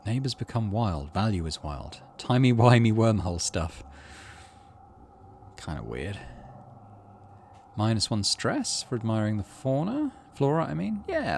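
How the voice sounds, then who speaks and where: A man's voice: on the low side, vocal fry, slight background noise. A man hosting a gaming video, reading story text aloud and adding casual commentary.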